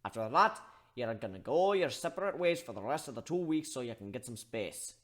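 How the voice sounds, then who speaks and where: A man's voice: mid-range voice, sing-song, fairly quick. A man voicing a big-hearted giant minotaur with a blunt, folksy, bossy way of talking. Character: gruff, big-hearted giant male minotaur airship crewman.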